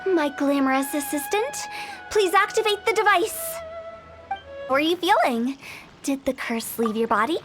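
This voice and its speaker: A woman's voice: high voice, animated, moderate pace. A woman playing a playful, self-assured young funeral director and exorcist in an anime-style game, with a theatrical, teasing manner. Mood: playful, brisk. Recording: loud music behind the voice.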